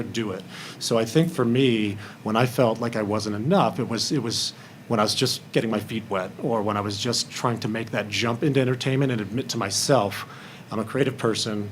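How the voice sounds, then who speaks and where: A man's voice: fairly low pitch, fairly bright tone, a little hiss or hum. A man speaking candidly as a film panelist in a roundtable discussion, acting out bits of remembered conversation.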